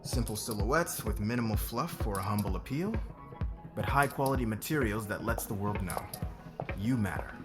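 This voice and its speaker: A man playing a low-voiced, commanding company boss. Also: expressive, normal speed, over music, close to an everyday voice. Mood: polished, confident. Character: demanding, controlling fashion company boss, a man. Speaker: voice actor in an audio roleplay. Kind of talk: ASMR audio roleplay acting.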